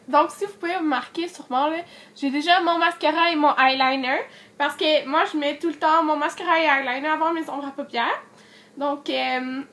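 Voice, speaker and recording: A woman's voice: high voice, fairly bright tone, slight background noise. A young woman with a Quebec French accent, chatting casually through a makeup tutorial while she has a cold, with lots of fillers. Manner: chatty, many fillers, addresses viewers directly. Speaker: beauty vlogger.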